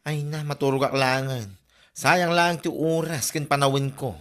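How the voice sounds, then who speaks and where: A woman's voice: very deep voice, fairly even intonation, moderate pace. A woman acting a sulky grown daughter in a comedy drama, blunt and dismissive.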